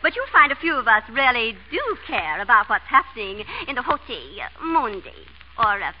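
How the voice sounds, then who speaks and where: An actress playing a fussy, bossy, affectedly refined American small-town wife in a radio comedy. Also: female voice, a little high, sing-song, moderate pace. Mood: affectedly genteel. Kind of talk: 1930s-40s radio comedy acting. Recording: dull, noisy vintage radio broadcast.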